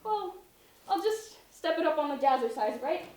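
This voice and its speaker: A woman acting a flustered, chatty, sentimental woman in a one-act stage comedy, excitable and hesitant as she talks. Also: high voice, moderate pace. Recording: echoey stage from far off, noisy.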